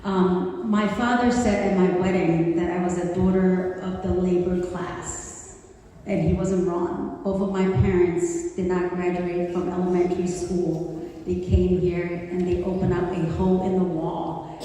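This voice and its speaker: A woman's voice: lowish voice, little pitch movement, a little slow. A woman in her forties who grew up in Hong Kong and speaks Cantonese natively, giving a chatty personal talk at a community event, with many fillers. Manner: warm, conversational, with frequent fillers. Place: community center hall. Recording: echoey hall, distant mic, faint noise.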